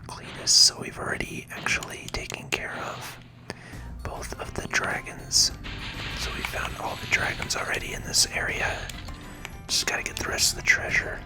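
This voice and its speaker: A game channel host giving ASMR commentary in a soft, breathy whisper, hushed and unhurried as they explain the play. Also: music in the background.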